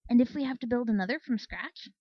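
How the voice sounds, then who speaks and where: A woman's voice: on the high side, fast, no echo, muffled recording, natural voice. A woman voicing a brash, predatory pegasus soldier mare in a storybook, bold and exaggerated. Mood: eager, scheming.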